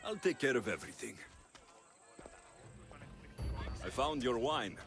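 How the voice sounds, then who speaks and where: An actor playing a confident, dry-witted ancient Greek mercenary in a video game, direct and wry. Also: male voice, mid-range voice, big pitch swings, fast talker, fairly bright tone, soft background music. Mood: businesslike. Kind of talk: video game dialogue acting.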